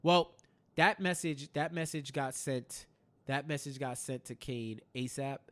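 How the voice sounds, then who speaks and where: A man's voice: mid-range voice, steady tone, a little slow. A man co-hosting a casual TV recap, retelling scenes in quoted dialogue and repeating phrases for emphasis. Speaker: podcast host.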